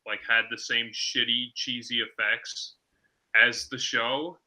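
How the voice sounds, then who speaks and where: A man's voice: medium pitch, level tone, takes it slowly, pushed and bright, rough recording. A man chatting casually as a podcast co-host, often laughing as he talks. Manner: giggly, laughs often while talking. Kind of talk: casual group chat.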